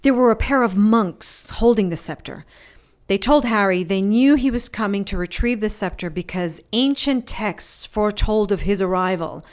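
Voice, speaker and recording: A woman acting as a tough female adventurer in an audio drama. She speaks at a middle pitch with a sardonic edge and spins her tale in plain, colloquial language, like someone battered but unbowed. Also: moderate pace, lightly acted. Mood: wry storytelling. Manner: wry, sardonic, colloquial storytelling.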